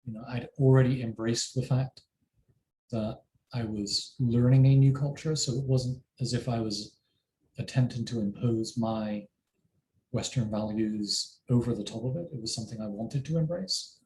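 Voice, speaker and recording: A middle-aged man with an English accent, a parent answering interview questions in a thoughtful, measured way. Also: mid-range voice, warm tone. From England. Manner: thoughtful, measured, self-deprecating. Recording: roomy with faint ambient noise.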